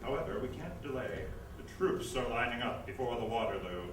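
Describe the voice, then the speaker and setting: A man's voice: mid-range voice, animated, fairly quick, close to an everyday voice. Man playing grandiose theatrical schemer, echoey stage. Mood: scheming. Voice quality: hammy projected stage voice. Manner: grandiose, mocking, melodramatic. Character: flamboyant, scheming theatrical impresario, taunting a rival. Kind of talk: comic stage acting, readers theatre. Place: indoor stage or hall. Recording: echoey stage, distant mic, faint noise.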